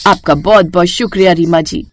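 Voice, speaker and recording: A woman voicing a gentle, worried wife in a children's story, earnest and polite. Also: lowish voice, fairly even intonation, fairly quick, a little hiss or hum, lightly acted. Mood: grateful, caring.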